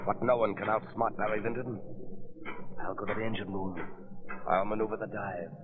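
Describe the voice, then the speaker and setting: An actor playing a ruthless, boastful submarine pirate in a theatrical 1930s radio style. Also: male voice, on the low side, steady tone, normal speed, over a noisy crowd, close to an everyday voice. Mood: smug, defiant. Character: male submarine pirate villain, gloating, ruthless, increasingly frantic. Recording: muffled old 1930s radio recording.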